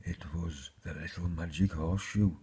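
A man with a husky voice, reading a children's fairy tale aloud as a storyteller. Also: deep voice, animated, a little slow, mellow.

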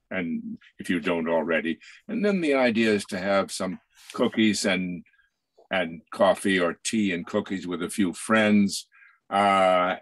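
An older man with a lowish voice addresses a virtual book launch. He rambles earnestly, stopping and restarting as he urges people on. The sound is fairly dry, from a video call in a small home office. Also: dry recording. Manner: halting, rambling, earnest encouragement. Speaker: playwright introducing an author.

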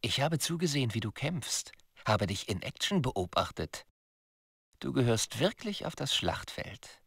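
An actor playing a taunting soldier villain in a video game, gloating in a gravelly, husky, drawn-out voice. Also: male voice, medium pitch, very animated, a bit bright, dry recording, clean studio sound, full character voice. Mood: smug menace. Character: sinister, taunting male soldier villain, smugly patient. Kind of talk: video game voice acting, German dub.

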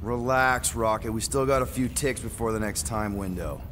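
A man's voice: on the low side, normal speed, a little hiss or hum, close to an everyday voice. A man playing a casual, flippant space-pirate team leader in a video game. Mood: relaxed. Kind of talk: video game character acting. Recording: loud music behind the voice.

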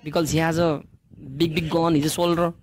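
A man's voice: a little high, flat delivery, fairly quick, a crowd in the background. A young man with a Nepali accent, joking in broken English on a casual live video call from a room at home, speaking into a headset mic. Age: 20s. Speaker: live-stream host. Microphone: headset with boom mic.

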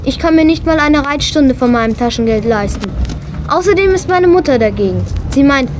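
A woman's voice: high voice, lively intonation, fairly quick, noisy background. A female actor playing an eager, horse-mad twelve-year-old girl in a children's radio play, in standard German. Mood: defensive, wistful.

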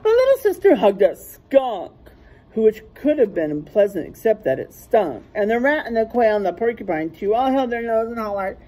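A middle-aged woman reading a rhyming picture book aloud like a teacher to young children, sing-song and deliberate, stressing rhymes and letters. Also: a little high, very animated, warm tone, low-quality recording. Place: small classroom, indoors.

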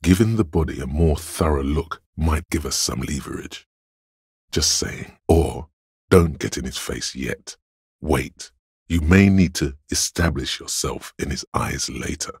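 A male inner voice of pure reason for a video game. It is exceptionally deep and rough with husk, recorded close and dry. The delivery is measured and analytical, with a skeptical, sardonic edge. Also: no room sound, heavily acted. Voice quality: very deep, gravelly husky. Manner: measured, deliberate, dryly sardonic. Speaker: voice actor.

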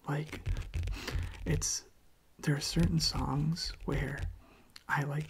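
A hushed ASMR voice of medium pitch, half-whispered and gently halting. It has a gravelly fry and stays very level, with faint noise and soft clicks behind it. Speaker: ASMR video creator, host. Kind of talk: soft-spoken ASMR, casual personal chat.

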